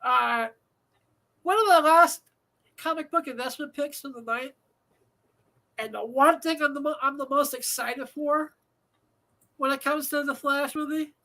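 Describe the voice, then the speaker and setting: A man's voice: extremely high pitch, unhurried, long pauses, bright, forceful tone, poor audio. A man hosting a live show from home, talking in a rambling, profane way with many fillers, a slightly nasal voice and a dry throat. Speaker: host. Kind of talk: casual live show monologue.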